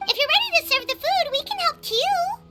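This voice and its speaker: A woman voicing a small, childlike fairy companion in a video game, squeaky, cheeky and excitable. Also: a little fast, slight background noise, heavily acted. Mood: cheeky, nosy. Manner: cheeky, exclamatory, speaks of self in third person. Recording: loud game music behind. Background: music.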